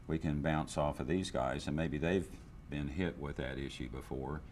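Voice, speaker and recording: A man's voice: extremely low pitch, darkish tone, a little hiss or hum. An older man, a business owner, speaking candidly in a seated interview, with frequent restarts and fillers. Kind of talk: interview testimonial. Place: indoor room, seated interview. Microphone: lapel.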